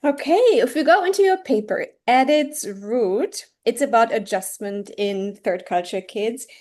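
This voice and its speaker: A woman's voice: fairly high pitch, measured pace, a little mellow. A woman co-hosting a podcast interview, asking encouraging questions over a video call. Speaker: podcast co-host.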